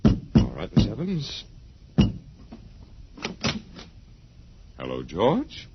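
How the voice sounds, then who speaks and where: A man's voice: lowish voice, huge pitch swings, measured pace, heavy background noise. An actor playing a weary, stubborn male survivor with a dry, sardonic, resigned manner, in 1950s radio drama style. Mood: resigned, wry. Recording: muffled old-time radio recording.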